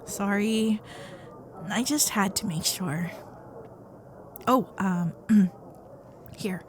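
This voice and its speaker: Unhurried, shy fox-spirit girlfriend, acted by a woman. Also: mid-range voice, a little hiss or hum. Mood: attentive, hesitant. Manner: hesitant, flustered, caring, softly halting. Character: shy, sweet, protective young female kitsune girlfriend. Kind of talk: ASMR roleplay acting.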